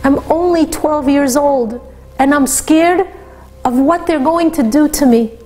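Woman putting on a scared little girl, high, pleading, tearful, storybook telling. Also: measured pace, warm tone, soft background music. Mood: frightened. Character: frightened twelve-year-old girl captive.

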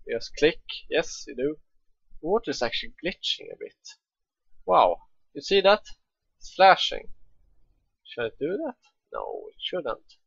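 A young man from Sweden with a Swedish accent, chatting casually and excitedly as he plays a game, swearing freely. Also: fairly high pitch, a little slow, creaky, long pauses, slightly rough, no echo, lo-fi sound. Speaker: let's play gamer. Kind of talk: casual gameplay commentary.

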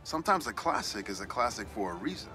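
A man's voice: lowish voice, very animated, fast talker, over music. An actor playing a genial middle-aged New York newspaperman with an American accent, telling nostalgic, reflective stories in a video game. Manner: storytelling, reflective. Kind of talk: game voice acting, conversational monologue.

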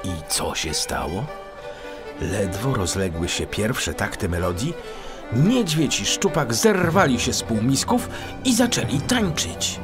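A man narrating a children's fairy tale as an expressive audiobook storyteller. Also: medium pitch.

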